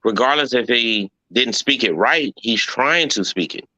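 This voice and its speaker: A man's voice: mid-range voice, animated, measured pace, bright, forceful tone, dry recording, low-quality recording. A man in a heated casual debate, folksy and persistent, who keeps saying okay and right while the others talk.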